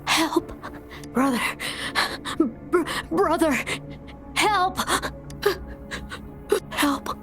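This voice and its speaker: A woman voicing a small video game monster that echoes a victim's cries in halting, mournful, repetitive mumbles. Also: extremely high pitch, fairly bright tone, heavily acted. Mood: pleading, mournful. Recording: game music over the voice.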